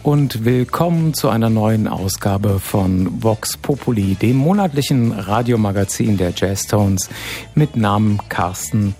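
A man hosting a relaxed radio magazine from a studio, chatty and full of fillers. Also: lowish voice, a little slow, soft background music. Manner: chatty, hesitant with many fillers. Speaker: radio host.

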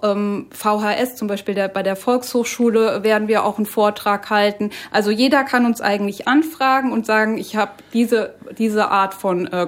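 A woman explains things patiently on a talk radio show, often pausing with fillers and restarting her sentences. Her voice sits at an ordinary middle pitch for a woman and carries an explanatory tone throughout. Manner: hesitant, many fillers, explanatory. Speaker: guest, trained climate guide.

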